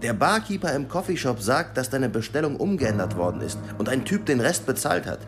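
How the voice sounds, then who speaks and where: A man's voice: medium pitch, sing-song, a little fast, over music. An actor playing a laid-back, slangy young local guy in a radio crime drama. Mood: offhand.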